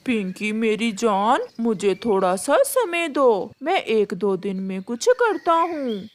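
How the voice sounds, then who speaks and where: A woman's voice: fairly high pitch, very animated, slow pace. A woman voicing an irritable, henpecked grown son crow in a cartoon, curt and complaining. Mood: exasperated.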